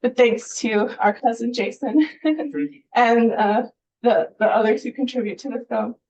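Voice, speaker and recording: A woman's voice: on the high side, steady tone, a little slow, a little mellow, lo-fi sound. A woman from Hawaii giving an informal presentation as host at a public event. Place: indoor event hall, speaking at a podium.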